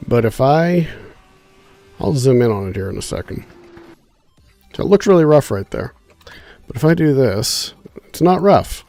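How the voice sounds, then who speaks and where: A man's voice: medium pitch, sing-song, measured pace, pauses often, dark tone, faint music behind. A man chatting casually on a gaming livestream, with a congested, nasal sound and a laid-back, rambling way of talking. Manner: chatty, laid-back, thinks aloud with fillers. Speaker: streamer.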